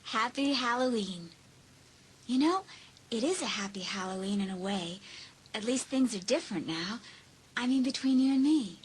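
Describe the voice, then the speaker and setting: A woman's voice: a little high, expressive, moderate pace, pauses often, a little hiss or hum, natural voice, rough recording. A woman playing an earnest teenage girl in a dramatised audiobook. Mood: gentle, reconciled. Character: earnest, hurt but forgiving teenage girl.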